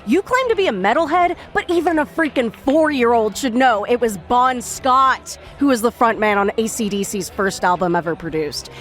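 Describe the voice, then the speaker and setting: A woman's voice: on the high side, animated, moderate pace, hardly any pauses, fairly bright tone, soft background music. An actress playing a scornful, foul-mouthed young metalhead woman in an audio drama, biting and sarcastic. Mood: incredulous scorn.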